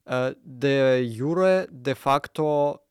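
Chatty young man, smooth voice. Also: medium pitch, very slow, dry recording, studio quality. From Ukraine. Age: young adult. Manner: chatty, many fillers and self-corrections. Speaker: student guest. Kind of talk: casual interview talk. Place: small studio.

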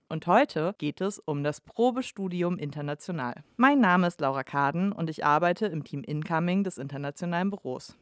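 A woman hosting a university podcast, reading a scripted intro and asking friendly, measured questions. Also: fairly low pitch, no echo, studio quality. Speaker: podcast host. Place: small studio.

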